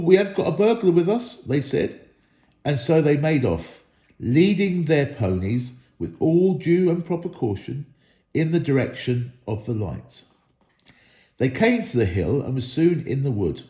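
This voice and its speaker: A man reading a storybook aloud as an expressive narrator. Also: medium pitch, a little slow, roomy sound. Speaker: storybook reader.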